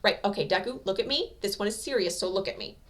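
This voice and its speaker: A woman performs a brash young male hero from an anime fan story. He is gruff and bossy, swearing freely and talking with blunt impatience, in a mid-pitched voice. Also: fast talker, close to an everyday voice. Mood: urgent, bossy.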